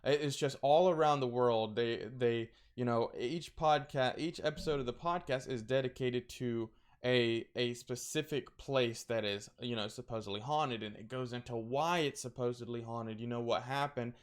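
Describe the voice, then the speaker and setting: A man's voice: mid-range voice, moderate pace, hardly any pauses. A man with a crackly voice chatting casually, rambling through recommendations with lots of fillers and restarts. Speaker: streamer recommending horror content.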